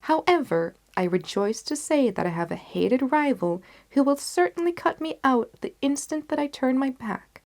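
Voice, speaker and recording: A woman reading the part of a confident, determined gentleman detective in a storybook, with emphatic, articulate delivery. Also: high voice, lively intonation, normal speed, few pauses, voice pushed up. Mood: amused, confident. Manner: emphatic, articulate, self-assured.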